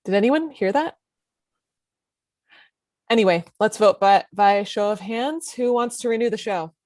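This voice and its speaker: A woman's voice: medium pitch, unhurried, a little mellow, no echo, natural voice. A woman reading the part of a sardonic, dismissive female TV network executive in a comedy table read. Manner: dry, dismissive, caustic.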